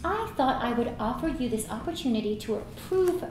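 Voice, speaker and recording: A woman's voice: a little high, lively intonation, moderate pace, a little mellow, lightly acted. A woman playing a proud, determined cyclist adventurer, firm and self-assured, at a screenplay table reading. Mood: teasing, confident. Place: echoey room, live table reading. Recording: echoey room, faint background noise.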